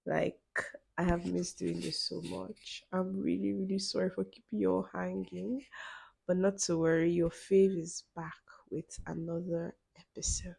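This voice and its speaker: A young woman talks easily through her solo podcast, her voice husky and grainy with a low edge of fry. She sits on the lower side for a woman and keeps dropping in "you know" as she thinks aloud. It sounds like a friendly, unscripted chat. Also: flat delivery, unhurried, mellow. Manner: chatty, many "you know" fillers, laughs between phrases. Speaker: podcast host.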